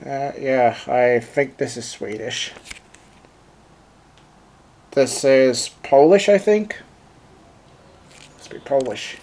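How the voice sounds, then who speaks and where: A man's voice: mid-range voice, animated, takes it slowly, pauses often, darkish tone. A man whose first language is German, speaking English with a German accent while unboxing and reviewing a handheld, thinking aloud with many fillers. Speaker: gadget reviewer.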